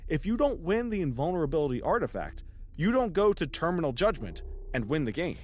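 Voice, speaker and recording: Animated, needling male podcast host. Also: a little high, fairly quick. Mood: incredulous. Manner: sarcastic, probing. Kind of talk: naturalistic audio drama acting as podcast banter.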